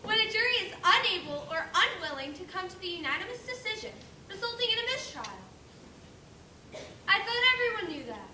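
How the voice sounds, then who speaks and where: A woman's voice: extremely high pitch, sing-song, a little fast, sharp tone, full character voice. A young female performer playing a know-it-all pig juror in a pert, matter-of-fact way in a stage play. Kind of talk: amateur stage play acting. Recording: distant echoey stage recording, faint noise.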